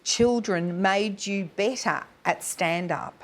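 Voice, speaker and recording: A woman's voice: medium pitch, slow pace. A woman chatting casually as co-host of a television panel show. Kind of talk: casual talk show chat. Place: television studio.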